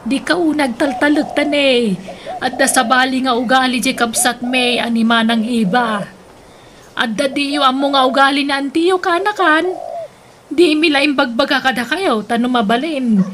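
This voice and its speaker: High-pitched scolding Ilocano aunt. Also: female voice, moderate pace, fairly bright tone, slight background noise. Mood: admonishing. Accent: Ilocano Filipino. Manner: admonishing, questioning. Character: suspicious, scolding, meddling aunt. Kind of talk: Ilocano radio drama acting.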